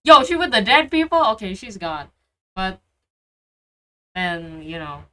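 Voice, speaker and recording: Bright-voiced casual woman streamer. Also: mid-range voice, very animated, measured pace. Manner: slangy, colloquial. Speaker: game streamer. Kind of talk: casual reactions and chat during play.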